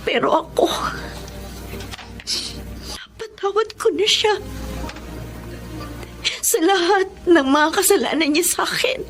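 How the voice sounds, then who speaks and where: Long-suffering but resolute wife woman performer, high and slow, emotional melodrama delivery. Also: expressive, soft background music, exaggerated voice. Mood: tearful resolve. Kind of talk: Filipino radio melodrama acting.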